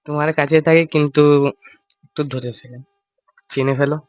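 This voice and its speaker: A man's voice: a little high, steady tone, fairly quick, no echo. A young man making a casual prank call, teasing and evasive as he repeats himself. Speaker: prank caller and video creator. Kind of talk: casual prank phone chat.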